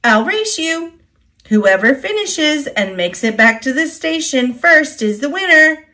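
A boastful young female steam engine from a storybook read by an American woman. She is eager and impatient, her pitch bouncing around in lively swings. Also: a little slow, heavily acted. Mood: challenging.

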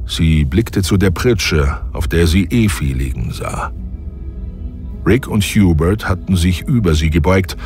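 A man narrating an audio drama in a calm, measured way. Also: sits low, soft background music. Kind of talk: scripted narration.

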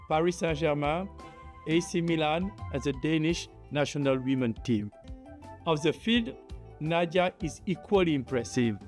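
Guy hosting a studio interview, bit high voice, soft music under him, formal and measured. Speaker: host. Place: studio set.

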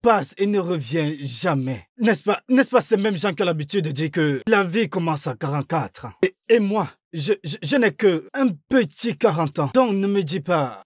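A man's voice: high voice, lively intonation, normal speed, few pauses, dry recording, studio quality. A man dubbing a stammering, complaining middle-aged trader in a film. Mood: grumbling. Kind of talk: French film dub.

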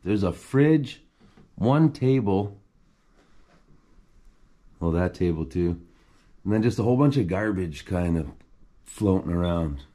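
Low, slow man exploring a dark basement. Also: lively intonation. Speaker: urban explorer filming a tour. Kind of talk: hushed exploration vlog narration. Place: dark flooded basement and cluttered garage of an abandoned house.

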